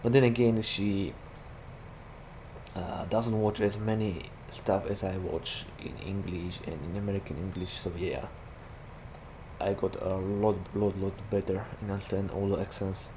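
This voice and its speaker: A young man with a Slovak accent and a slightly low voice rambles casually through his gameplay, leaning on "like" fillers. Clear engine and vehicle noise from the game runs under his desk-mic recording. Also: steady tone, unhurried. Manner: rambling, chatty, heavy use of "like" fillers. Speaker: gaming YouTuber.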